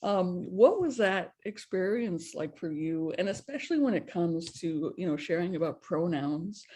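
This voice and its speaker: A moderator guiding a panel discussion, asking gentle, curious questions with many fillers. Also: mid-range voice, lively intonation, normal speed.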